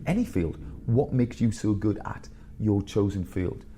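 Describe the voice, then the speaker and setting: A man around fifty, a headmaster telling blunt, animated stories in a candid interview. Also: fairly low pitch, a little slow, slight background noise. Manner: blunt, animated storyteller with bursts of laughter.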